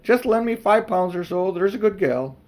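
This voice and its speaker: A man voicing a vain, foolish old gentleman magician in a storybook, with a pompous, old-fashioned, wheedling manner. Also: high-pitched, expressive, moderate pace, voice pushed up. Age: older.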